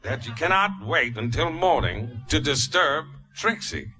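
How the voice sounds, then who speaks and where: A man's voice: on the high side, lively intonation, a little slow, loud music behind the voice. A man voicing a boastful, showy female unicorn magician at a live script reading, talking about herself in the third person. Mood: haughty.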